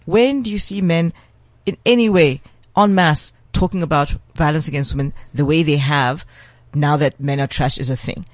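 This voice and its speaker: A man, a guest on a talk show, arguing in an impassioned, emphatic way with quick bursts of speech. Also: a little high, no echo. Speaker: guest commentator.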